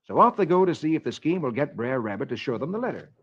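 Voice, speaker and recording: A man hosting a 1940s radio programme, telling scripted comic-strip stories in a theatrical, animated way. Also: mid-range voice, fast talker, slightly rough, soft background music. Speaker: radio comics reader, host. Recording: dull, noisy vintage radio recording.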